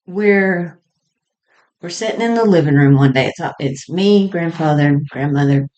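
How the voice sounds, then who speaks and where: A woman telling personal stories in a halting, conversational way with frequent small chuckles as she reminisces. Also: low voice, a little mellow, lo-fi sound. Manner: halting and conversational, often chuckling mid-sentence. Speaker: storyteller sharing personal experience.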